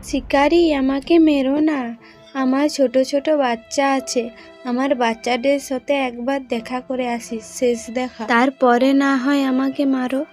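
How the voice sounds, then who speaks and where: A woman's voice: sits high, level tone, normal speed, a little mellow, soft background music, close to an everyday voice. A woman voicing a tender mother deer in a cartoon, speaking in an imploring way. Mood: pleading. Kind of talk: cartoon voice acting.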